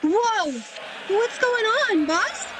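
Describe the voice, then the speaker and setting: Woman as eager trainee angel, high swooping voice, naive, radio drama, slightly noisy call. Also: animated, extremely slow, full character voice. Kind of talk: radio play acting. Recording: faintly noisy video call.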